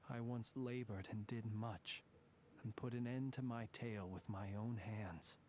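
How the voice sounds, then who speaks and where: A man voicing an ancient male fae in human guise in a video game, calm and formal, who sometimes drops to near whispers. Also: fairly low pitch, measured pace, slight background noise, close to an everyday voice. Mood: solemn. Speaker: lightkeeper guard.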